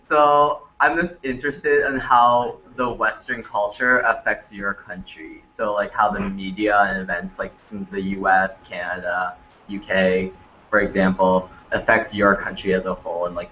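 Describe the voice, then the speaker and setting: A man's voice: lowish voice, flat delivery, normal speed, bright, forceful tone, a little hiss or hum. A teenage boy, a student asking an interview question and often saying "like" as a filler. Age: teen. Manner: says like a lot as a filler. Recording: echoey classroom, dull sound.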